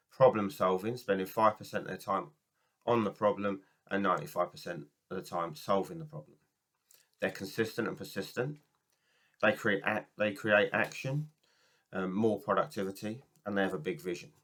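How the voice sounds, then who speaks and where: A man's voice: sits low, fairly bright tone. A man from the UK with a cold and a sore throat, giving a casual, rambling talk to camera as a business coach. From United Kingdom. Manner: rambling, many ums and restarts. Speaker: network marketing business coach.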